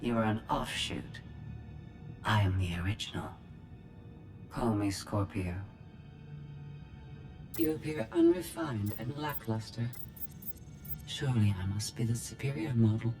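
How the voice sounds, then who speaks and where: A woman's voice: a bass voice, sing-song, a little slow, gravelly, pauses often, over music, full character voice. A woman voicing a cold, superior robot intelligence in a game cutscene, formal and condescending, with synthetic processing. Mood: haughty. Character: cold, superior female droid intelligence, haughty and precise. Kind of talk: video game cutscene acting.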